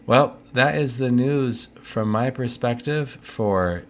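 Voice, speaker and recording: A man's voice: medium pitch, slow. A man hosting a casual news roundup, talking loosely with fillers and asides while getting over a cold. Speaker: tech video host. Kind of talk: casual monthly news overview.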